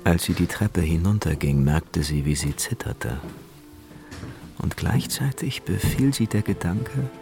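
An actor as the narrator of a radio drama, telling a suspense tale in a measured, literary way. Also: male voice, deep voice, animated, creaky, over music, heavily acted. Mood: measured, suspenseful. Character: male storyteller of a suspense tale.

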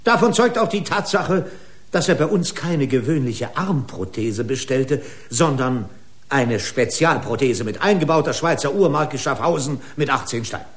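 An actor playing a pedantic, accusing corporate lawyer in a German radio drama, speaking in formal, pompous legal style. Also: male voice, mid-range voice, lively intonation, normal speed, lightly acted. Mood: pedantic, indignant.

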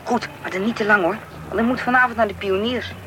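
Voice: medium pitch, fast talker, pushed and bright, lightly acted. A young male performer playing a cautious, obedient schoolboy in a radio drama. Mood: matter-of-fact. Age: young teen. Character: cautious schoolboy friend, obedient to his grandmother. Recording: radio play with noisy outdoor ambience.

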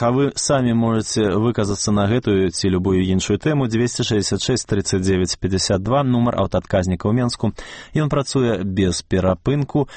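A man presenting the news on radio in a measured, formal way. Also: fairly low pitch, a little mellow, dry recording. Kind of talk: scripted news reading. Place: radio studio.

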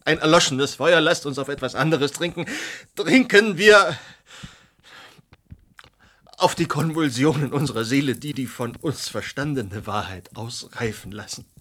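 An actor playing a tipsy, impassioned Romantic artist in a radio drama, declaiming bitter philosophy with halting dramatic pauses. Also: male voice, mid-range voice, a bit bright, natural voice. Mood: fervent, tipsy. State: drunk. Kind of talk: German radio drama acting.

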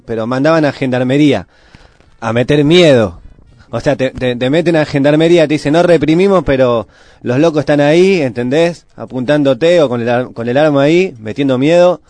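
A man's voice: a little high, moderate pace, a little mellow, no echo. A man with a Buenos Aires Argentine accent chatting as a radio co-host, colloquial and fond of tag questions. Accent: Buenos Aires Argentine Spanish. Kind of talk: casual chat. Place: radio studio. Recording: music playing behind the voice. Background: music.

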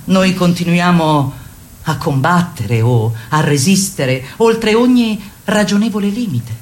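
A woman's voice: fairly low pitch, lively intonation, a little slow, a little mellow. A woman playing a commanding, encouraging feminist workshop leader in a radio play, exhorting her group with theatrical, rhetorical fervour. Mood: solemn, fervent. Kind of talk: radio theatre acting, declamatory.

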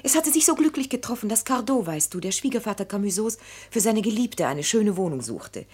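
An actress playing a loving, cheerful young mistress in a radio play, coaxing in a husky voice. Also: female voice, medium pitch, sing-song, fairly quick, a little mellow. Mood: bright, coaxing. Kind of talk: German radio play acting.

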